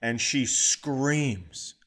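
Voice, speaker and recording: A middle-aged man hosting a podcast, telling a funny story with lively asides and quick impressions of the people in it. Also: medium pitch, slight background noise. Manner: animated anecdote-telling, mimicking others in quotes. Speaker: podcast host and comedian. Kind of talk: comic storytelling chat.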